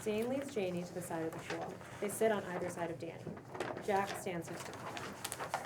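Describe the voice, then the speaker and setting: A woman's voice: on the low side, normal speed. A woman narrating the stage directions of a script at a cold table read. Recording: distant mic, clearly noisy room.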